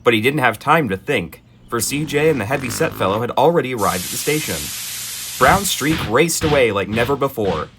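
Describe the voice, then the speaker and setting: A man narrating in a gentle, expressive children's storybook style, with dry asides. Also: medium pitch, a little fast, a little hiss or hum.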